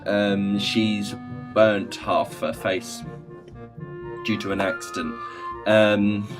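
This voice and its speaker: A man hosting a gaming video, reading visual novel text aloud with casual asides and halting stumbles over the words. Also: lowish voice, fairly even intonation. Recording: music playing behind the voice.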